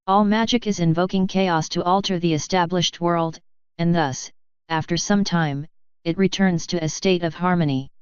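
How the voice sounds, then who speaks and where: Woman as a smooth-voiced, knowledgeable unicorn mage. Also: lowish voice, fairly even intonation, moderate pace, no room sound, highs cut off, close to an everyday voice. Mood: instructive. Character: knowledgeable female unicorn mage, patient teacher.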